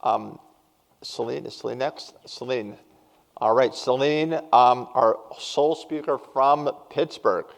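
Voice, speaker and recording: A man moderating a panel, introducing speakers briskly and informally with light jokes. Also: mid-range voice, creaky. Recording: distant mic in a reverberant hall.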